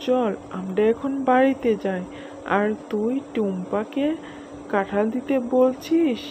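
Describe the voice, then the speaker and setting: A woman's voice: on the high side, slow, noisy background. A woman voicing a stingy, scornful animal mother in a children's cartoon, dismissive and scolding. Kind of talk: children's cartoon story voice acting.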